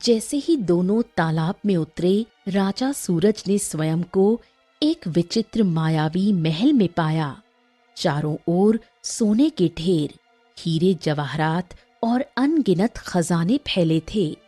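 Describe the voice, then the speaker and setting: Low, husky woman narrating a story. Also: expressive, slow pace, warm tone, dry recording, soft background music. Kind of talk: dramatic scripted story narration.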